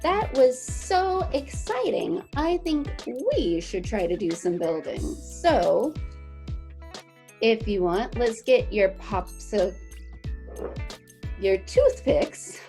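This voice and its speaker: Female children's teacher, lively read-aloud with swooping intonation and some vocal fry, faint music behind. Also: on the high side, slow pace. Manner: animated, encouraging, explains things simply to children. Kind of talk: picture book read-aloud for young children.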